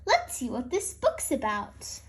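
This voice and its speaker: A woman's voice: high-pitched, wildly swooping pitch, unhurried. A woman reading a children's picture book aloud as host, expressively and aimed at young listeners. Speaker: host and read-aloud storyteller.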